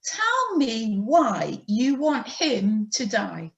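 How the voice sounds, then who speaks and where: A woman's voice: fairly high pitch, huge pitch swings, drawn-out words, gravelly, reverberant, highs cut off, full character voice. Woman playing a puzzled Roman governor. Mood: insistent. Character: puzzled male Roman governor, questioning and insistent.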